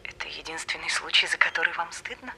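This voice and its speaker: An actress in an audio drama playing a composed, frank teacher, talking matter-of-factly through a telephone. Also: female voice, low voice, fast talker, gravelly, very noisy. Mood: frank, dry. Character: composed, frank female teacher, daughter of elderly tutor.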